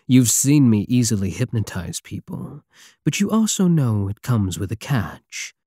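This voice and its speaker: A man's voice: mid-range voice, sing-song, a little slow, dark tone, slightly rough, no echo, clean studio sound. A man playing a swaggering, obsessive space pirate king in an intimate ASMR roleplay, flirtatious and menacing with a teasing, theatrical drawl. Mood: taunting. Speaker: audio roleplay voice actor.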